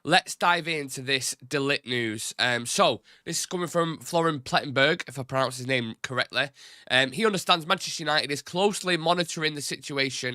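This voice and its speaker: A young man talks through a football live stream in a loose, rambling way. His nose is blocked and his voice comes out nasal, with a bright, forward tone. The recording is dry and close, with no room sound. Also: mid-range voice, dry recording. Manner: rambling, chatty, informal. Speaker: football channel host. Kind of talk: casual live-stream chat.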